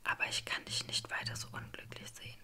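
A woman's voice: a little fast, sharp tone. Woman whispering as a fierce guardian spirit. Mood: devoted concern.

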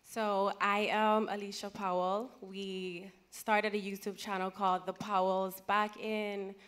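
A woman's voice: medium pitch, level tone, measured pace, a bit bright, smooth. A woman in her thirties from Ghana, a guest on a conference panel, talking in a chatty, self-deprecating way into a handheld mic. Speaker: panel guest, content creator. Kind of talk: conversational panel talk. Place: large conference stage.

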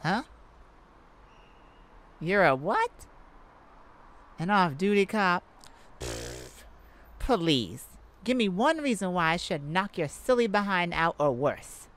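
Woman acting a cocky, flirty, low-voiced cat burglar. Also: wildly swooping pitch, heavily acted. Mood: brash, bold. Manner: teasing, sassy, theatrical, drawn-out purring delivery. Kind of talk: flirtatious ASMR roleplay monologue.

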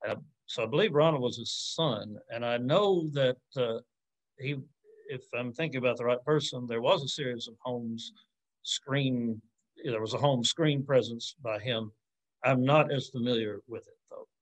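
Male academic, forties, mid-range pitch, informal Q&A delivery, reflective and a little hesitant, on a video call. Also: moderate pace, rough recording. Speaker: academic answering audience questions. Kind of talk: informal lecture Q&A, thinking aloud. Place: indoors on a video call with virtual background.

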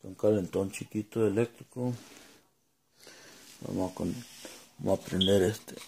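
A man with a Mexican Spanish accent giving a casual, unscripted demonstration, informal and halting as he searches for words and drops in English. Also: deep voice, fairly even intonation, gravelly, darkish tone, slight background noise. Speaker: home vlogger demonstrating gear.

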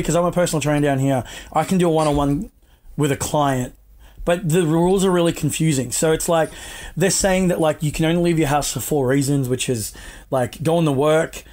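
A man's voice: on the high side, fairly quick, a little mellow. An Australian man in his thirties, a guest chatting casually over a video call, rambling with lots of fillers. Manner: laid-back, rambling with many fillers. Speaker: podcast guest, wrestler and personal trainer. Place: small home room indoors. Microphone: video call, earphone mic.

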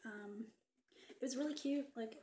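A woman's voice: a little high, a little slow, slight background noise. Chatty young woman showing a thrift haul. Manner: chatty, animated, many ums and asides, whispered quips. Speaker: vintage fashion vlogger. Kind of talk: casual haul vlog. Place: living room, seated on a sofa. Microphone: webcam at desk distance.

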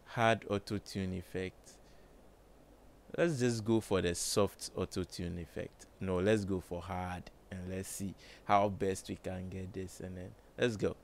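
A man with a low voice, slowly thinking aloud with many fillers while demonstrating music plugins in a home studio. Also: fairly even intonation, vocal fry, a little hiss or hum. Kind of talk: casual screen-recorded tutorial. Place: small home studio with colored lights.

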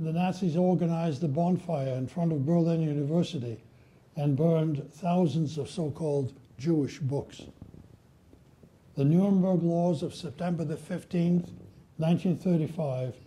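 Older male lecturer, deep-toned and dark, slightly raised pitch, unhurried solemn delivery. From Poland. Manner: measured, solemn, reads quotations slowly. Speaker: guest lecturer. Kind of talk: prepared history lecture. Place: library lecture room with audience. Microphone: at a lectern, filmed by a far camera.

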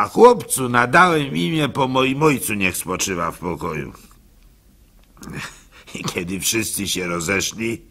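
Raspy, gravelly village fool, swooping delivery. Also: male voice, medium pitch, pushed and bright, heavily acted. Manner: folksy, rueful, theatrical with sighs and interjections. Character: gullible, plaintive village fool telling his misfortunes. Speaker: radio drama actor. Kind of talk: first-person dramatic monologue storytelling.

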